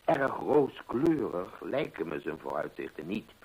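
An actor playing a genial pensioner and loyal companion in a radio play, chatty and a little hesitant, with a gravelly, husky voice. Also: male voice, low voice, very animated, measured pace, a little mellow, full character voice, low-quality recording. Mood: chatty, informative. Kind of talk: Dutch radio play acting. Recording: old radio play recording.

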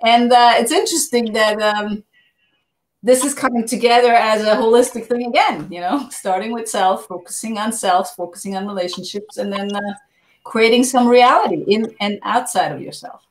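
A woman hosting a video call chat, lively and quick to laugh. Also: on the high side. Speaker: host. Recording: echoey room on a video call.

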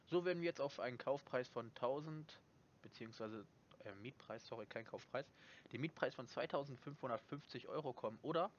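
A young man with a slight cold casually commenting on a game he is playing, chatty and quick to correct himself and apologize. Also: medium pitch, highs cut off, slight background noise. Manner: relaxed, chatty, frequent self-corrections and apologies. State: slightly congested with a cold, clears throat. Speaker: gaming channel host. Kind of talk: casual let's-play commentary.

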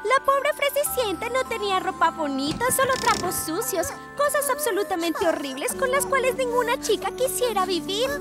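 A woman's voice: very high-pitched, animated, normal speed, hardly any pauses. A woman voicing a bossy little girl who declaims like a stage storyteller in a dubbed cartoon. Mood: storytelling. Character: bossy little girl narrating and playing a stepmother. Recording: music behind the voice.

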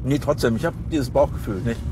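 Fast, blustering man playing an officious policeman. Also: mid-range voice, background noise, close to an everyday voice.

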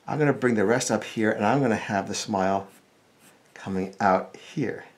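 A man's voice: fairly low pitch, moderate pace. A middle-aged American man giving a casual step-by-step drawing lesson, thinking aloud informally as he works. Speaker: cartoonist, drawing instructor.

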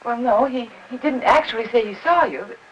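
A woman acting as a worried, polite young housewife in an old film melodrama. She sounds soft-mannered and hesitant, on a dulled soundtrack with faint noise. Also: fairly high pitch, fairly quick, natural voice. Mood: anxious, coaxing. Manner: gentle, apologetic, hesitant. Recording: dull, slightly noisy old film soundtrack.

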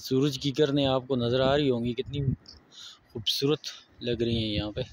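A man with a Pakistani Urdu accent, walking a mountain road and calmly pointing out sights to his viewers. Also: medium pitch. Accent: Pakistani Hindko-area Urdu. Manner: unhurried, pointing things out to viewers. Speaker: travel vlogger. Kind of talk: casual walking tour narration.

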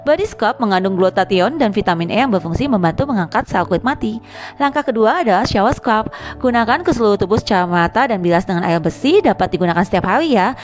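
A woman doing a casual, chatty vlog voice-over, addressing viewers as friends. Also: mid-range voice. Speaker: vlogger and narrator.

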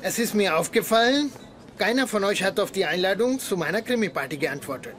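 A man's voice: sits high, expressive, a little fast. An actor playing an eager, easily wounded young male party organizer in a German sitcom dub, with theatrical delivery. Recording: noisy TV sitcom audio.

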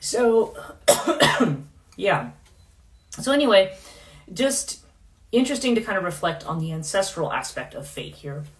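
A middle-aged woman hosting a casual livestream in her living room, rambling with many fillers and restarts, her voice going hoarse. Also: medium pitch, sing-song, a little slow, pauses often. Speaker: podcast host. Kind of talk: casual livestream talk on myth.

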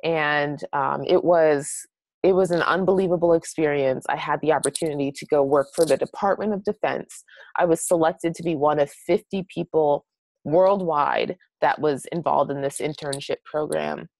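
A woman's voice: sits low, moderate pace, vocal fry, a little mellow, dry recording, poor audio. An American woman in her late twenties giving a casual webinar presentation in a chatty, encouraging way. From New Hampshire, United States. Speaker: guest presenter, blog strategist.

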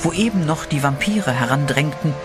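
A man's voice: a little high, moderate pace. Man narrating a horror radio play. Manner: steady, dramatic storytelling. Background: eerie music.

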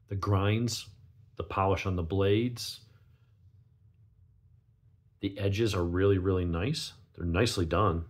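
Male reviewer, low husky gravelly voice, stuffed up with a cold, talking casually and off the cuff. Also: a little mellow. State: sniffly with a cold. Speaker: knife reviewer, channel host. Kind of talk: casual unscripted product review.

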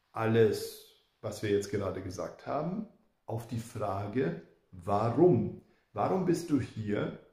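A man in his fifties giving a German grammar lesson to the camera, explaining slowly and repeating example sentences. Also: mid-range voice, creaky, mellow, echoey room. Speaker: German teacher. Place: indoor room with plants behind. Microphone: camera in front, head and shoulders.

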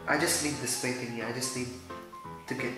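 A man's voice: mid-range voice, steady tone, a little fast, heavy reverb, over music, poor audio. A teenage boy from Dubai with an Arabic accent, rambling goofily through a casual do-it-yourself vlog. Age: teens. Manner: goofy, rambling, self-mocking. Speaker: YouTuber. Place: small room, indoors. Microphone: camera mic at close range.

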